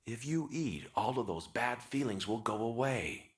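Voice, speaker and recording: A man voicing a gruff, fatherly older doctor in an English anime dub, with a husky voice. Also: mid-range voice, animated, moderate pace. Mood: gruff, consoling. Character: gruff kindly older male doctor, practical comforter.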